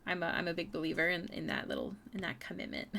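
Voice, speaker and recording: A woman's voice: lowish voice, flat delivery, quick pace, vocal fry, noisy background. A young woman streaming her artwork, chatting casually with viewers and often stumbling over and repeating her words. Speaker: streaming artist. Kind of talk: casual live-stream chat while drawing.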